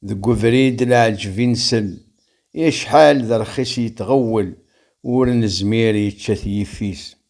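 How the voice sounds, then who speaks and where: A man with a Kabyle accent reciting rhymed verse as a poet, in a measured, declamatory delivery. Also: on the low side, vocal fry, a little mellow.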